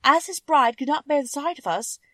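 Woman voicing an animated, high-voiced winged monkey king. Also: fairly quick, no room sound, studio quality. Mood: explanatory. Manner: storytelling, expressive. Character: cheerful winged monkey king recounting his band's history. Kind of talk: children's storybook character narration.

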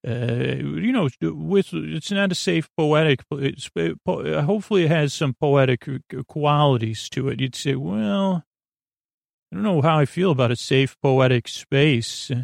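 A man hosting a sleep podcast, talking in a meandering, halting monologue full of restarts and tangents with a creaky voice. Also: fairly high pitch, no echo, clean studio sound. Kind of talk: rambling late-night monologue.

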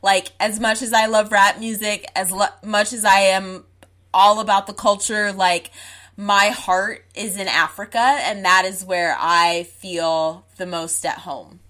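A woman's voice: medium pitch, steady tone, unhurried, fairly bright tone. Emphatic young woman born in Zimbabwe. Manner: emphatic, frank, repeats "like". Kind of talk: casual conversation.

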